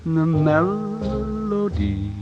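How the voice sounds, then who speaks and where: Casting: male ballad crooner, fairly high voice, very slow phrasing, dull vintage recording with guitar accompaniment. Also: sing-song. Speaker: singer.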